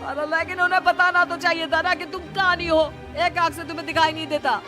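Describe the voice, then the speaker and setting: A woman's voice: extremely high pitch, fairly even intonation, a little fast. A woman voicing an indignant, complaining, greedy young bridegroom in a cartoon story. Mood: accusing. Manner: complaining, exclamatory. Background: faint background music.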